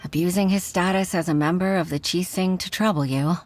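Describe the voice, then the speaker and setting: A woman's voice: fairly low pitch, fairly even intonation, brisk, close to an everyday voice. A woman playing an earnest, easily frustrated young junior official in a video game, with a formal manner. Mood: exasperated. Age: young adult. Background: background game music.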